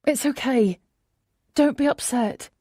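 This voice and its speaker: A woman's voice: high-pitched, takes it slowly, warm tone, dry recording, clean studio sound, voice pushed up. A woman voicing an anxious, apologetic runaway wife in an audiobook. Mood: tearful, apologetic.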